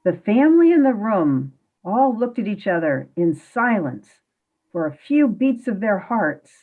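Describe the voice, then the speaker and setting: A woman narrating a radio play with expressive storytelling. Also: mid-range voice, measured pace. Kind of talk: scripted narration. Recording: phone-like remote audio.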